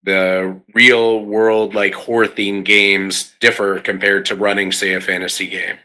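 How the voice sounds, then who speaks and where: A man with a fairly low, very bright and punchy voice hosts a casual conversation. His phrasing is informal, and he keeps tagging his points to check that others agree. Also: flat delivery, unhurried, poor audio. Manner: informal, seeks agreement with frequent "right?". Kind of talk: casual chat.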